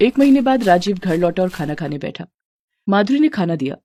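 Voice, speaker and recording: A woman's voice: fairly low pitch, sing-song, brisk, mellow. A woman reading a scripted story as its narrator.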